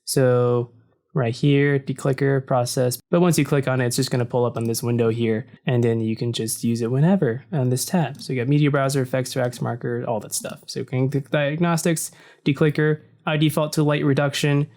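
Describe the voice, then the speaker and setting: A man with a deep voice narrating a software tutorial in a relaxed, casual way, recorded at a desk in a room without soundproofing. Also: fairly quick. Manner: relaxed and explanatory, with frequent "so" and "uh". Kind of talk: casual screen-recording walkthrough. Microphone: desk mic facing the computer screen.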